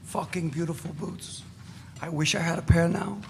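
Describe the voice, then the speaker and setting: A man performs an unrepentant ex-militant with a fairly high male voice and profane swagger. He declaims bluntly in a dramatic reading, recorded in a reverberant hall with some background noise. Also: steady tone, normal speed, vocal fry. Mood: swaggering nostalgia. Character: swaggering unrepentant male ex-radical reminiscing about guns and boots.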